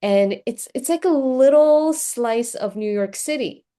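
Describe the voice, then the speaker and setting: A woman's voice: sits high, measured pace, warm tone. A Korean-born woman hosting a podcast interview, chatting enthusiastically with fillers and asides. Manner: enthusiastic, conversational, frequent fillers. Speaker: podcast host and executive coach.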